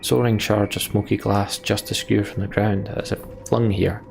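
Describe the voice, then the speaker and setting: A man narrates a video game as a let's player. His voice sits low with plenty of gravel, and some faint noise sits behind it. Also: level tone, moderate pace, darkish tone. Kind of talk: reading game text aloud with commentary.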